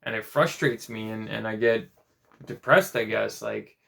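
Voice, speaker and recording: Halting, gravelly-voiced young man. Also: lowish voice, bright, forceful tone. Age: 20s. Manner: rambling, halting, with frequent restarts and fillers. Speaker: vlogger. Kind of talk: casual personal vlog.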